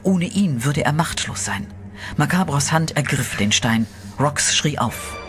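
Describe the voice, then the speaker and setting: A man narrating a horror radio play in a steady, dramatic storytelling voice, with eerie music behind him. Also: on the high side, a little slow.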